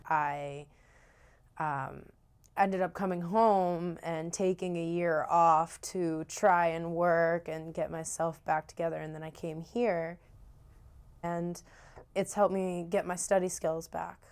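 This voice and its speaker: A woman college student speaking softly about returning to school. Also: lowish voice, fairly even intonation, slow pace, smooth.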